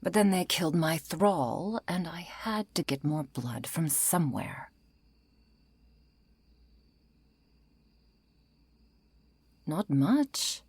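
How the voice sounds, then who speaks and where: A woman acting a century-old female vampire in an ASMR roleplay, composed, politely menacing and wry, her soft breathy voice often falling into a whisper. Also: sits low, dry recording, full character voice. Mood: defensive, wry. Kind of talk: ASMR roleplay, intimate scripted monologue.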